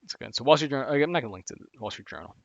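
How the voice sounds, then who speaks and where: A man's voice: mid-range voice, animated, rapid-fire, vocal fry, no echo, lo-fi sound. A man streaming live, talking casually through a list of finance reading resources, rambling with lots of fillers and restarts. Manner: rambling, heavy on "like" and "again", frequent restarts.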